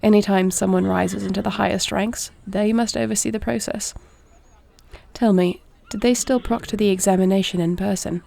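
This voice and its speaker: A woman playing a duty-bound, caring warrior princess in an audio roleplay, speaking to the listener in a formal, measured, creaky voice. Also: mid-range voice, steady tone. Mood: measured, explanatory. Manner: formal, measured, soft-spoken speech.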